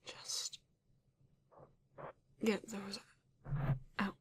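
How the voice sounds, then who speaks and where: A woman playing a flirty, courteous vampire in an ASMR romance roleplay, breathy and often dropping to a whisper, with genteel, teasing phrasing. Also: medium pitch, completely flat delivery. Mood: tender, flirtatious. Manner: slow, genteel, teasing, old-fashioned wording. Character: flirty, courteous vampire living alone in a castle.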